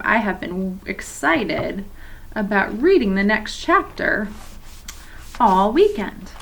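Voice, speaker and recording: A woman's voice: a little high, pushed and bright. A woman reading a children's novel aloud for a church, with chatty asides to her young listeners. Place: church office.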